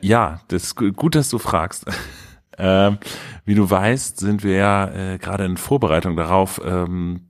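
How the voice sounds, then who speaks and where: Low-voiced man with a cold, chatting. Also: no room sound, studio quality. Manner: relaxed, rambling with many fillers. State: has a cold. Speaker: podcast co-host. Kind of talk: casual podcast chat.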